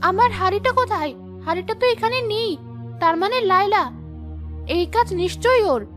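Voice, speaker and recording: A woman's voice: very high-pitched, lively intonation, normal speed, a bit bright, faint music behind. A woman voicing a kind, proud, wronged young village wife in a cartoon fairy tale, earnest and dignified. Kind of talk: animated fairy-tale voice acting.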